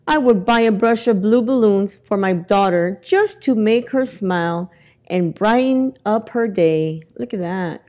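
Casting: middle-aged woman, children's storytime reader, animated pitch, unhurried delivery. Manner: expressive, deliberate reading to young children. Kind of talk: children's picture book read-aloud. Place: indoors in a library, among bookshelves. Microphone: lapel mic.

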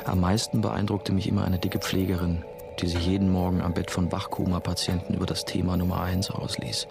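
A very low male voice plays a young novice monk narrating a radio play. He is laconic and sardonic, telling his past in a deadpan way. Music runs clearly behind him. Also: a little fast. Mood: dry, reflective.

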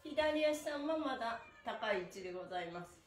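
Woman, high voice, exercise instructor, encouraging, chanting counts, echoey indoor room. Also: animated, fairly quick, slight background noise. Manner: upbeat, encouraging, singsong chanting of cues. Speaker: stretching instructor. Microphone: camera a few meters away. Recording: echoey room, distant camera mic.

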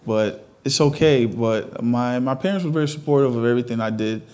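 A man's voice: mid-range voice, a little fast, a little mellow. A man in his thirties from Ghana, answering interview questions in an earnest, matter-of-fact, conversational way. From Ghana, moved abroad at thirteen. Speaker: interview guest, fashion designer. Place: indoor television studio. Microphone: lapel mic.

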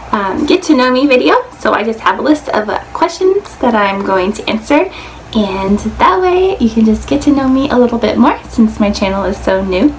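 A woman's voice: fairly high pitch, low-quality recording. An American woman in her twenties chatting informally to camera as a vlogger, saying "like" often. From Indiana, United States. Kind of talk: casual chat to camera. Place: small room indoors, plain wall. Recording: clearly audible background room noise.

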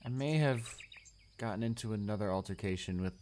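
A young man acting a shy, anxious, bullied high school student in an audio roleplay, stammering and hesitant. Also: fairly quick, a little mellow, slight background noise, lightly acted. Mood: sheepish, subdued. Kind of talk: romantic audio roleplay, one-sided dialogue.

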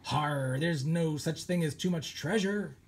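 A man voicing a boisterous grown pirate captain who speaks in gruff exclamations in a read-aloud storybook. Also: on the high side, a little slow. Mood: excited.

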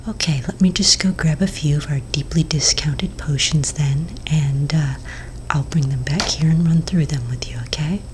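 A woman whispers close to the mic in a low, hushed voice, unhurried and soft. Faint hiss sits under her words in a small indoor room. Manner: whispering, slow and gentle, with fillers. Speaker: ASMR roleplay potion shopkeeper. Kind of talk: ASMR whispered roleplay. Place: small indoor room before shelves of bottles.

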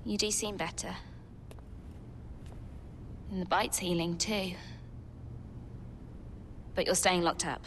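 An actress playing an imperious, exasperated young celebrity in a video game, curt and sardonic. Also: female voice, fairly low pitch, fairly even intonation, slow pace, long pauses, a little hiss or hum. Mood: guarded, sardonic. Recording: echoey hall with music behind.

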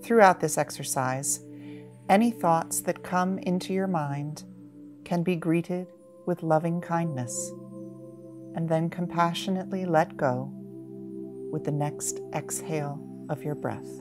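A woman guiding a meditation in a gentle, measured manner, with long pauses between short phrases. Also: low-pitched, music in the background. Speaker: mindfulness meditation guide. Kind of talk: guided body-scan meditation.

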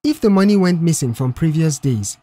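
A man's voice: on the high side, expressive, fairly quick, warm tone, husky. Man reading a reserved young mechanic, probing. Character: quiet, reserved young male mechanic questioning staff. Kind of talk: audiobook character dialogue.

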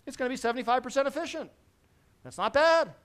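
A middle-aged man giving a technical lecture to a seated audience in a large conference hall, lively and constantly checking in with the listeners. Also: very high-pitched, fast. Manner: lively, quizzes the audience with frequent "right?". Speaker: presenter at a technical symposium.

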